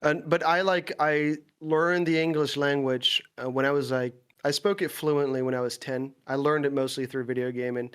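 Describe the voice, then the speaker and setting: A man's voice: fairly high pitch, steady tone, moderate pace, hardly any pauses, smooth. A young man from the Netherlands chatting casually, reflective and hesitant, in English with an American-sounding Dutch accent. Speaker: podcast guest, gaming content creator. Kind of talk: casual chat.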